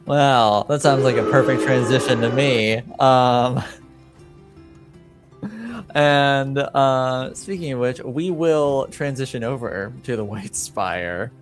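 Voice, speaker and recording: A man narrating a tabletop roleplaying game as game master, speaking haltingly with trailing pauses. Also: mid-range voice. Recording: music playing behind. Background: background music with guitar.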